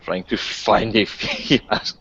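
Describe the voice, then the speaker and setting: A man talking casually and tentatively as a player in a tabletop game session, halting as he goes. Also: lowish voice, bright, forceful tone. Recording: dull, lo-fi voice chat audio.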